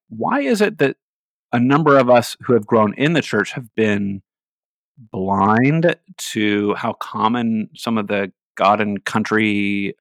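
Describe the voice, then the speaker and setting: A man's voice: fairly low pitch, creaky, no room sound. A man from the American West, co-hosting a podcast, who rambles casually and searches for his words. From Hawaii and Seattle, USA. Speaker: podcast co-host.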